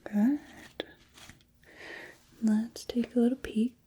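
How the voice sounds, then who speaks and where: A woman softly whispering in an ASMR sci-fi roleplay as a calm, attentive medical technician, murmuring short observations to herself. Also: on the high side, takes it slowly. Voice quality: soft, breathy whisper.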